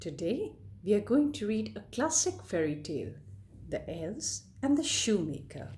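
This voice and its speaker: A woman storyteller reading a scripted fairy tale to children in a deliberate, expressive way. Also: medium pitch, slight background noise. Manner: deliberate, expressive read-aloud delivery addressed to children.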